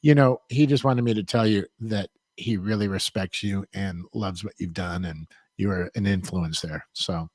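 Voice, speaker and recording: A man's voice: deep voice, moderate pace, hardly any pauses, a little mellow, dry recording, studio quality. A middle-aged man from San Diego hosting a podcast, rambling in a laid-back way and often adding "man". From San Diego, USA. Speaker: podcast host. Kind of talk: casual interview chat.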